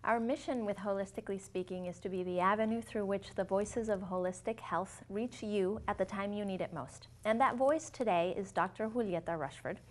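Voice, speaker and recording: A woman's voice: medium pitch, brisk, few pauses. A woman from Latin America hosting an interview show, asking curious, friendly questions. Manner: friendly, curious, rephrases questions with "you know". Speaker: host.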